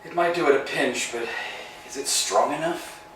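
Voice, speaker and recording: A man's voice: on the high side, normal speed, a bit bright, a little hiss or hum, lightly acted. A man reading the part of a restless, fretful middle-aged tramp in a staged play reading, with a dry, wry delivery. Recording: roomy room, mic far off.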